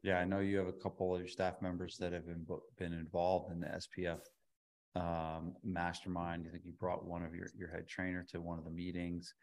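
A man's voice: low voice, monotone, brisk. A man hosting a podcast interview, summing up a guest's advice point by point in a casual way. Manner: sums up advice in numbered points. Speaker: podcast host.

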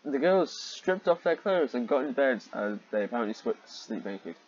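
A man reading fan fiction aloud with sarcastic, exasperated asides, often clearing his throat. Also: medium pitch, big pitch swings, moderate pace, darkish tone. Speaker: host and reader of fan fiction. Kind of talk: read-aloud of fan fiction with sardonic commentary. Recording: dull, muffled lo-fi microphone.

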